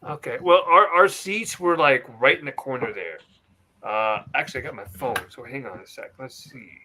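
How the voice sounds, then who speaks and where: A man's voice: mid-range voice, normal speed, sharp tone. A man co-hosting a wrestling podcast in loose, casual chat, rambling into side stories and apologizing for going off topic. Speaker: podcast co-host.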